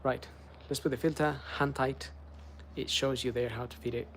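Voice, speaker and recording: A man's voice: medium pitch, normal speed, slight background noise. A middle-aged man whose first language is Spanish, chatting casually to viewers as he services a car step by step. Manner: chatty, relaxed, talks to live chat viewers. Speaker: car maintenance vlogger. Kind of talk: casual how-to chat while working.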